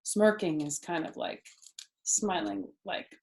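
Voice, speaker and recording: Slow-paced woman reading to children. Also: on the low side, sing-song, darkish tone. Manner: slow, deliberate, explains words simply to children. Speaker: storyteller reading picture books to children. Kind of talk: read-aloud storytime with chatty asides. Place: small furnished living room, indoors.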